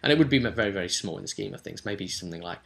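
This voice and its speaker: A man rambling through a vlog as a game master, animated and emphatic, repeating words for stress and slipping into imagined players' remarks. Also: low voice, racing, gravelly. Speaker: tabletop game master and vidcast host. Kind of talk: rambling vlog commentary on a roleplaying game session.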